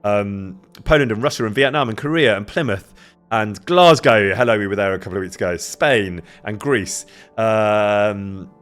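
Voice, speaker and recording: A man casually chatting with his live-stream viewers, greeting them by name and laughing often, with a loud voice that carries. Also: fairly low pitch, normal speed, faint music behind. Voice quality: loud, carrying voice. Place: indoor room near an event space.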